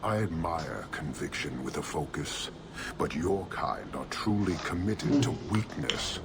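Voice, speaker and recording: An actor playing a stern, proud giant military commander in a video game, speaking in a grave, formal, declamatory way. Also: male voice, very deep voice, expressive, a little slow, noisy background, exaggerated voice. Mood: cold disdain.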